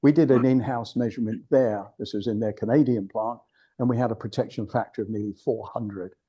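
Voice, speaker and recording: Older British man, low mellow voice. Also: steady tone, brisk, husky. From United Kingdom. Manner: digressive, blunt, restarts often. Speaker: guest speaker at a club. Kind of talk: informal presentation.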